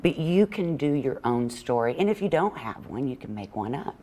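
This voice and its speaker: Very low-voiced older woman. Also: big pitch swings, normal speed, warm tone. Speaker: interview guest, children's book author and illustrator. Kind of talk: conversational interview answers. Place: TV morning show interview.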